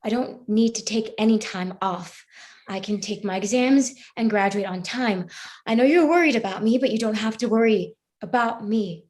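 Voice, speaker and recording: A woman's voice: mid-range voice, normal speed, a little mellow, lightly acted. A young woman acting an anxious, defensive student who rambles and apologises in a naturalistic stage play reading. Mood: anxious, insistent. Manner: rambling, apologetic, stammering under pressure.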